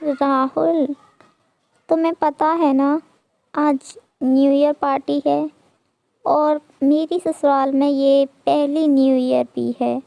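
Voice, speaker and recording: A woman voicing a bold, breezy young bride, in a high put-on voice. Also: fairly even intonation, takes it slowly, vocal fry, dark tone, dry recording, exaggerated voice. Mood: eager.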